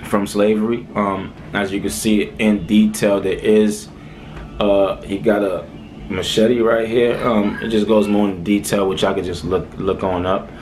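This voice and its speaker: A young man with a light Haitian accent talks to camera in a quiet small room, his voice very low and flat. He drifts along easily, padding his talk with "um" and "all right". A faint hum of the room sits behind him. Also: a little slow. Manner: laid-back, rambling, many "um"s and "all right"s. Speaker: vlogger. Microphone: camera close in front.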